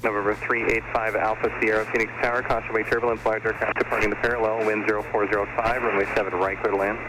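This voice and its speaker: A man's voice: fairly low pitch, flat delivery, fast talker, poor audio. A man working as an air traffic controller, giving clipped procedural instructions over aviation radio. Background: music.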